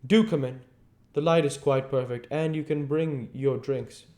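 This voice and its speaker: A man's voice: mid-range voice, fairly even intonation, unhurried, a little mellow, echoey room, pitched above the performer's own voice, close to an everyday voice. A man voicing an eager male painter who calls out emphatically in a storybook reading. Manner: exclaiming, emphatic. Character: eager male painter calling his friends.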